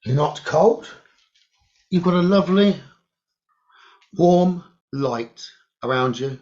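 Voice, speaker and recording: A man leading a guided meditation, speaking slowly and soothingly, repeating his gentle instructions with long pauses. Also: a little high, expressive. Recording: dull lo-fi recording.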